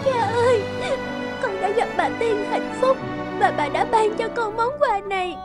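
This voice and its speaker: Sweet little princess, super high cartoon voice, loud music behind. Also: female voice, measured pace. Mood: tearful, surprised. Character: sweet, earnest, kind young princess. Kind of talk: dubbed cartoon acting.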